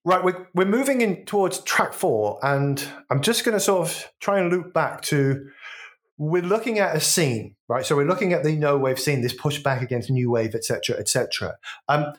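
A man in his forties hosts a casual podcast with a higher-than-usual voice. He rambles, backtracks and jokes about himself, with a loose, easygoing way of talking. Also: animated, moderate pace. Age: 40s. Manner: rambling, self-deprecating, jokey. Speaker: podcast host.

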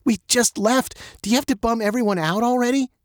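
A man's voice: high-pitched, sing-song, normal speed, no room sound, clean studio sound, voice pushed up, exaggerated voice. A man reading aloud and voicing an exasperated, eye-rolling young girl.

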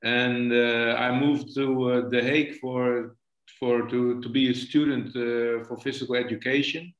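A man in his sixties with a Dutch accent, a football coach answering interview questions haltingly, often stumbling and repeating words. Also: medium pitch, flat delivery. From Netherlands. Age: 60s. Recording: echoey room, dull lo-fi connection.

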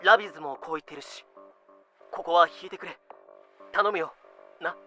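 A woman's voice: on the low side, moderate pace, bright, forceful tone, faint music behind. A woman playing an easygoing, put-upon male pirate captain with a casual, placating manner in an audio drama. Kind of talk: fan-made Japanese voice drama acting.

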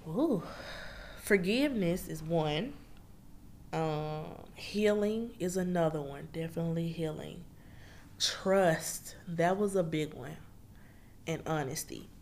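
A woman hosts a relaxed book review and speaks in a low, deep register. She is chatty and slangy. She addresses her listeners directly, as if they were friends. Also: slow, slight background noise. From Memphis, Tennessee.

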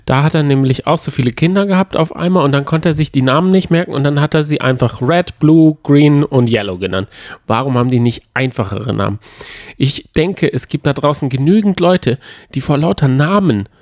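A man's voice: on the high side, fairly quick, darkish tone, no room sound, studio quality. A man co-hosting a reality TV recap show in casual, bantering conversation.

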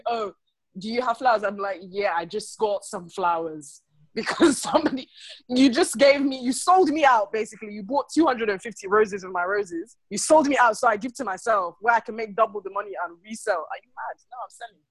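A woman's voice: fairly high pitch, brisk, no echo, rough recording. A woman chatting on a podcast, telling stories with energy and acting out the people in them. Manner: animated storyteller, acts out customers. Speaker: guest. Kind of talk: casual podcast chat.

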